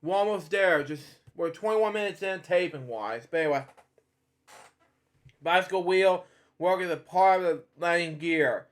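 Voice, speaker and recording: A man reading a children's storybook aloud, stumbling, restarting and adding rambling side comments. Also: high voice. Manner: halting, with frequent restarts and filler comments.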